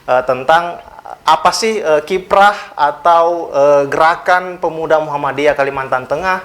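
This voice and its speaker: A man's voice: a little high, a little slow, fairly bright tone. A man from South Sulawesi, a guest on an interview show, speaking politely and measuredly with frequent hesitant fillers. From South Sulawesi, Indonesia. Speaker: guest, youth organisation official. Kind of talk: interview talk.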